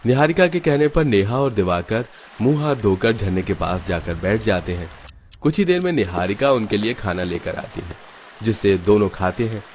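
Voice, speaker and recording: A man's voice: lowish voice, lively intonation, normal speed, darkish tone, a little hiss or hum. A man reading scripted narration for a family story.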